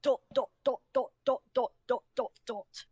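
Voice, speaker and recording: A woman's voice: fairly high pitch, steady tone, unhurried, darkish tone, breathy edge, no echo, dull audio. A woman playing a shy, earnest, slightly awkward young songwriter in a fan fiction roleplay.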